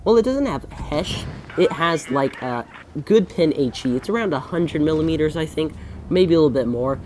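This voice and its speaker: A man's voice: on the high side, lively intonation, moderate pace, darkish tone, slight background noise. A young man with a congested voice from a recent cold, casually commentating over his own gameplay and often correcting himself with fillers. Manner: rambling, self-correcting, frequent fillers.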